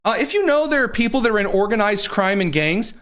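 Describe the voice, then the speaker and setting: Male host from Indiana, sarcastic and mocking, with a high, lively voice. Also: clear, resonant tone. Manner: mocking, sarcastic, quick asides. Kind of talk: sarcastic political commentary.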